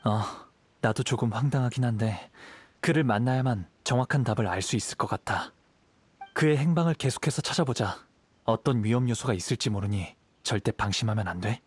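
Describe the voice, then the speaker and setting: Man playing a composed young wandering samurai, mid-pitched. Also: breathy edge, lightly acted. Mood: calm, cautious. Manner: composed, measured, serious. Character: calm, perceptive young male wandering samurai. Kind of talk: anime-style video game voice acting.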